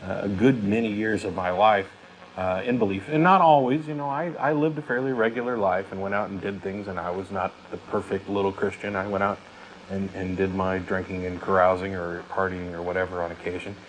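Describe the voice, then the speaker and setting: A man, in his thirties or older, talks conversationally as a show host. His voice sits fairly low, and there is clear noise in the recording. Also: brisk, low-quality recording. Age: 30s or older. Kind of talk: conversational talk.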